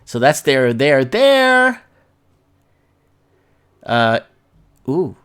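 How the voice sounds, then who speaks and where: A man's voice: mid-range voice, sing-song, drawn-out words, fairly bright tone, clean tone. A man hosting a casual livestream, talking in an informal, slangy, rambling way as he chats and reads comments and news aloud. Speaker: live show host.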